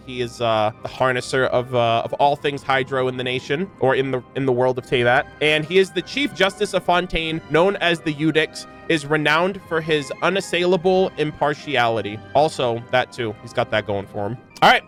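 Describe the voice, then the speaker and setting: A man's voice: fairly high pitch, a bit bright. A young man hosting casual game lore commentary and reading, speaking in an enthusiastic, informal, rambling way. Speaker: gaming lore reaction host.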